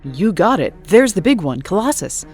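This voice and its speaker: A woman's voice: mid-range voice, big pitch swings, moderate pace, darkish tone, soft background music, close to an everyday voice. A woman playing a confident, fanatical militant villain in an audio drama, controlled and assured.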